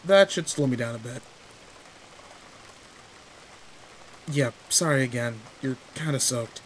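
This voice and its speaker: A man's voice: mid-range voice, moderate pace, a little hiss or hum, lightly acted, rough recording. A man playing a tall, protective, secretly sweet teenage delinquent in an intimate roleplay audio drama, talking in casual, teasing slang. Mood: apologetic, teasing. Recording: steady rain ambience behind voice. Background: rain in a forest.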